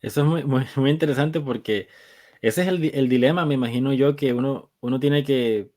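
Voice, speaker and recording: A man hosting a podcast, asking questions in a thoughtful way and pausing to search for his words. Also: mid-range voice, a little mellow. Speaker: podcast host. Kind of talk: conversational interview.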